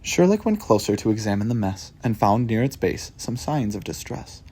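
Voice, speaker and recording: A man reads his rhyming picture book aloud with a gravelly, husky voice and a warm, dark tone. His delivery rises and falls dramatically, bringing each line to life. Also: lowish voice, moderate pace. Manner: animated, expressive storytelling. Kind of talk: rhyming storybook read-aloud.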